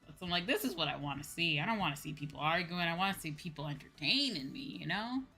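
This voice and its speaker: Bright-voiced woman chatting casually on stream. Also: fairly low pitch, lively intonation, fast, slightly rough, faint music behind. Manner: informal ranting, slangy and profane. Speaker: VTuber livestream host. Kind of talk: casual livestream chat.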